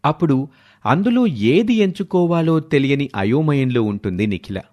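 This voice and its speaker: A man's voice: a little high, expressive, normal speed, a little mellow. A man narrating a scripted moral story as the storyteller.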